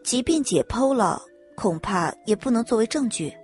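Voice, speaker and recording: A woman voicing a calm, serious young noblewoman forensic doctor in an audio drama, measured and formal. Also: fairly high pitch, faint music behind, close to an everyday voice.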